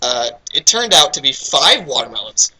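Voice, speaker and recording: A man's voice: medium pitch, sing-song, moderate pace, bright, forceful tone, low-quality recording. A young American man doing a goofy, theatrical dramatic reading and breaking off into casual asides. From Texas, United States. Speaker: reader in a comedic fanfiction reading.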